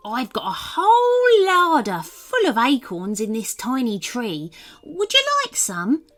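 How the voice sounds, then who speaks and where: A woman voicing a cheerful male squirrel with a bright, chirpy delivery. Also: fairly high pitch, very animated, unhurried, pitched above the performer's own voice.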